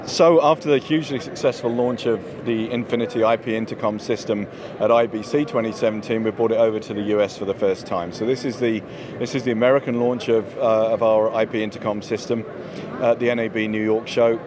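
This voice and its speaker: A man's voice: on the low side, steady tone, fairly quick. A man in his forties giving a conversational product explanation as an interview guest, into a handheld microphone. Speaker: company executive, interview guest. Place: trade show booth, indoors. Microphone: handheld microphone held by interviewer. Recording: clear busy trade-show floor noise.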